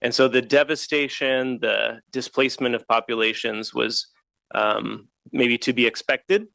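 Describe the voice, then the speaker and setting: A man from Kenya speaks on a video call with a rough, gravelly edge and a bright, forward tone. He pauses often and fills the gaps with "uh." The sound is dry and close, with no room around it. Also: mid-range voice, steady tone, no room sound. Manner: hesitant, frequent "uh" fillers. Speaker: panelist, founder of an energy company. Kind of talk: casual panel talk.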